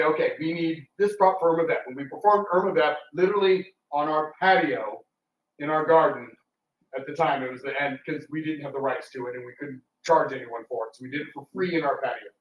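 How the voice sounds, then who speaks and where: A man's voice: a little high, a little fast. A man chatting casually to an audience as a theatre performer, telling anecdotes in a rambling way. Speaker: radio theatre performer giving a public talk. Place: indoor public talk room. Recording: roomy, distant mic with background noise.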